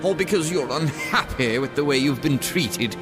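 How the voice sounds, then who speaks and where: A man's voice: on the high side, lively intonation, normal speed, music in the background, close to an everyday voice. An actor playing a stern, indignant authority figure, a man delivering accusations in a dramatic audio drama. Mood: indignant, accusing. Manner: scolding, indignant. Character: stern, accusing authority figure, male, scolding a disloyal legacy.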